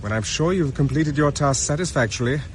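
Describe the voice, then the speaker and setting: A man's voice: fairly high pitch, fast talker, a little hiss or hum, close to an everyday voice. A man with a British accent playing a resourceful, wary former army captain on the run in a radio drama. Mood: brisk, commanding.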